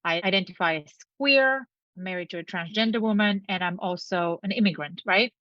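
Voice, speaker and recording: A middle-aged woman from Bulgaria, a company founder, talks with earnest, thoughtful care as a podcast guest. Her voice reaches us over a video call with a dull, narrow sound and no room echo. It sits at an ordinary pitch for a woman. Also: normal speed. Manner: earnest, reflective, speaks in long lists. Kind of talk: interview conversation.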